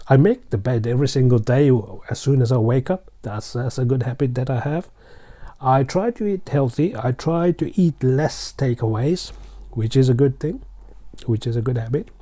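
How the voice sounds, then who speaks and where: Sri Lankan man with a very dark voice. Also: medium pitch, moderate pace. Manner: repeats and restates phrases, frequent fillers; encouraging, direct address to learners. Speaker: English teacher. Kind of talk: casual spoken lesson.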